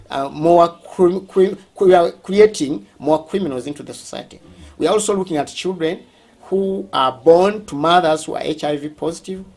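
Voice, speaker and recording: A man with a Ugandan accent speaking as a school representative, explaining things during a tour in a halting, deliberate way, often rephrasing. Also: a little high, vocal fry, darkish tone, slight background noise.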